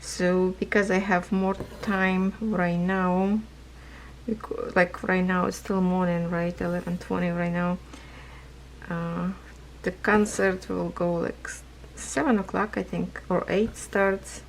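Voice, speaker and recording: Woman vlogger, hoarse and gravelly, slow unhurried chat about her stitching, faint room hiss. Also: on the low side, flat delivery, low-quality recording. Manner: rambling, non-native phrasing, laughs often. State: losing her voice. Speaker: craft vlogger. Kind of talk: casual chat while stitching.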